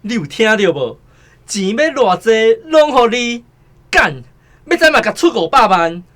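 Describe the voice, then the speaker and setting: An actor with a Taiwanese accent playing a brash, crude, cocky gangster in a radio drama. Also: male voice, sits high, very animated, a little slow, a bit bright, full character voice. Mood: brash, excited. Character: brash, foul-mouthed gangster boasting about ransom money.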